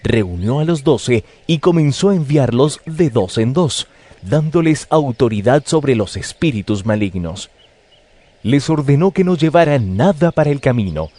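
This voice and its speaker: A man narrating scripture as a dramatized story, with expressive storytelling delivery. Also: mid-range voice, no room sound. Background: music.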